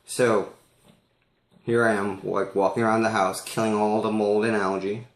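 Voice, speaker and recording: A man casually telling a personal story in an animated way, as if acting out the conversation for listeners. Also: fairly low pitch, normal speed, roomy sound. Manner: animated, acts out dialogue.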